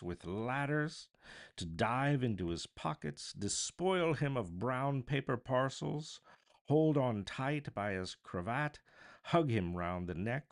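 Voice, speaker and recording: A man reading a classic story aloud as a voice artist, with theatrical, expressive narration. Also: mid-range voice. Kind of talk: dramatic live audiobook narration of a classic novel.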